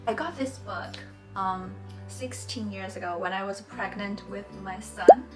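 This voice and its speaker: A woman in her forties, born in China and a native Mandarin speaker, hosting a knitting tutorial in a gentle, earnest and encouraging way. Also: mid-range voice, unhurried, over loud music, poor audio. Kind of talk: friendly instructional talk.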